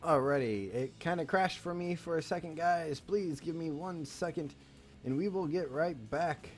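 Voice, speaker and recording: Male stream host, slightly high voice, excitable and rambling, stammers, light music under. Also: normal speed. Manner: hyped, rambling, stammering restarts. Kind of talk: casual live stream chat.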